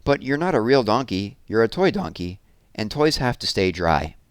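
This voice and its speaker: A man voicing a kindly, sensible toy in a storybook, gently explaining things to a friend. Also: fairly low pitch, very animated, moderate pace, clear, resonant tone, no room sound, studio quality.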